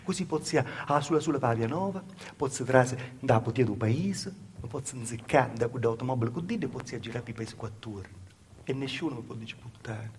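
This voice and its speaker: A man's voice: medium pitch, darkish tone, close to an everyday voice. A male stage actor playing a humble, naive peasant woman with a Calabrian accent, telling her hard life in a plaintive dialect monologue that circles back over its own words. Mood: wistful, hopeful. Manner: plaintive storytelling with insistent repetitions. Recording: live stage recording with faint noise.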